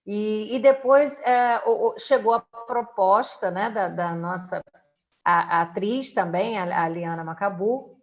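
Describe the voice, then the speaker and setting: A middle-aged woman from the of Rio de hosts a conversational interview from home, often halting to search for words. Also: medium pitch, highs cut off, soft background music. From Brazil. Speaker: interviewer and host. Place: indoors at home.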